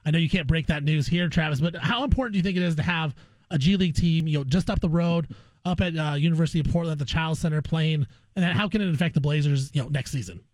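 Sports radio interviewer, male, slightly high voice, quick and opinionated. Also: no room sound. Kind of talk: casual sports talk interview.

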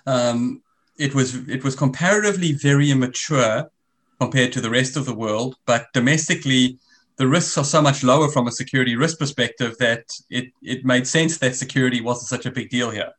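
A South African man in his forties talks on a remote call, his voice pitched in the ordinary middle range for a man. He explains in long, winding runs and often restarts his sentences. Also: a little fast, a bit bright. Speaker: guest, risk business founder. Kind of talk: conversational interview.

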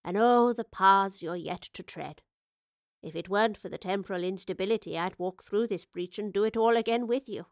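A woman reading as a serene old professor at the end of a life of adventure. She speaks slowly and gently, with a soft, knowing lilt. The sound is close and dry, with no room around it. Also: mid-range voice, no echo, lightly acted. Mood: wistful. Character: elderly woman professor, calm and wistful.

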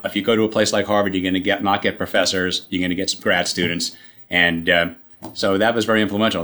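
Deep-voiced New man in his 60s. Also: a bit bright. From New York, USA. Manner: halting, many restarts, wry humor. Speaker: guest, company CEO. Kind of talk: casual interview talk.

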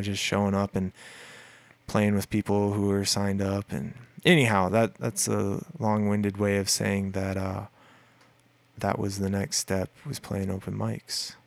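A deep-voiced man in a relaxed interview chat. He rambles, piling up fillers and restarts. His low voice has a gravelly edge, and the recording is dry and close. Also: measured pace, no echo. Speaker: musician guest. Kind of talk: casual conversational interview.

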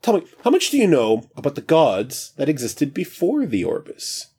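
A man voicing a chatty ancient male god-king who stammers and rambles with breezy excitement. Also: fairly high pitch, normal speed, breathy edge, voice pushed up, heavily acted. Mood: excited, breezy.

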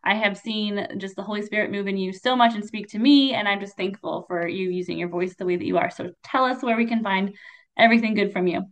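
A woman hosts the interview in an effusive, affirming manner, peppering her sentences with fillers. She is a foster mom herself. Her voice sits at a middle pitch. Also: quick pace. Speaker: podcast host and foster mom. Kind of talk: warm-hearted interview hosting.

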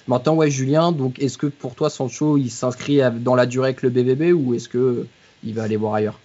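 Husky guy hosting a footy podcast, passes the mic around, lo-fi. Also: mid-range voice, fairly even intonation, a little fast, warm tone. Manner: hands over questions to guests. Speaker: podcast host. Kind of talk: moderating a podcast.

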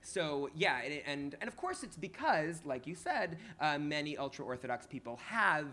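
A man from New York whose first language was Hebrew, a podcast guest talking in an animated, casual way full of restarts and fillers. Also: on the high side, moderate pace. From New York, USA. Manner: animated, many restarts and fillers, laughs easily. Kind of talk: casual interview conversation.

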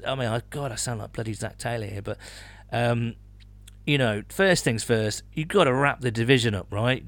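A man talks sports casually as a podcast co-host. His voice sits at a middling pitch and his phrasing is colloquial and colourful. The sound is close and dry, with very little room in it. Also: fairly bright tone, dry recording.

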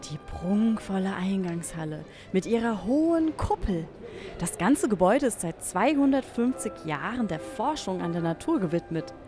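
An actress plays a curious, playful young woman in a youth radio play. Her delivery is highly animated and a little sceptical, with a teasing edge. Soft music sits behind her. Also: natural voice. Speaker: actress in an educational audio drama.

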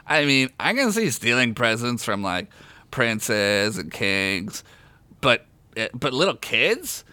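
A man's voice: mid-range voice, big pitch swings, takes it slowly, sharp tone, exaggerated voice. A man voicing a laid-back, pipe-smoking woman who muses casually and schemes, in a comic story. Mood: musing.

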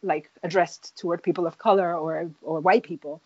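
Woman, lowish voice, hesitant, many fillers, dry dull recording. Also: steady tone, normal speed, dark tone, no echo. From Nigeria. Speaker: author, guest. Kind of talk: thoughtful interview answers.

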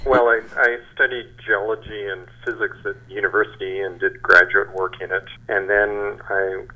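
A man in his sixties with a deep voice, heard through a dull, band-limited recording. He answers interview questions conversationally, in a halting, measured way. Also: level tone, pushed and bright. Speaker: geophysicist, interview guest.

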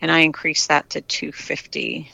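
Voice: medium pitch, fairly even intonation, normal speed, creaky, sharp tone, breathy edge, no room sound, highs cut off. A retired cyclist in their sixties from the United States, chatting candidly in an interview with frequent restarts. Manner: chatty, candid, many restarts and repeated words. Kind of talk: casual interview answers.

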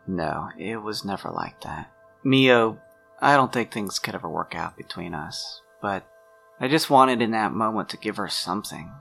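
An awkward young man in a tabletop drama, acted out by a man, with a low, gravelly voice. He speaks haltingly, full of guilt, often trailing off over soft background music. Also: animated. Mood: guilty, regretful.

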